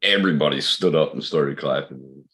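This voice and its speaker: A man with a deep voice in casual group chat, laughing often and swearing freely. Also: moderate pace, a bit bright.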